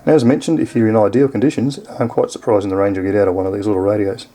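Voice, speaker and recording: Male, Australian accent, deep relaxed voice, casual reviewer, easygoing unscripted explanation. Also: very fast. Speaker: product reviewer. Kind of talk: casual unscripted product demonstration.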